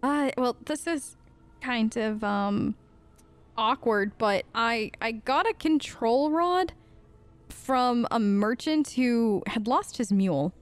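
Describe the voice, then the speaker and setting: A woman's voice: fairly high pitch, lively intonation, slow pace, clean tone. A woman doing playful, chatty role-play commentary over a game, talking to the characters as she plays. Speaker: gamer playing in character.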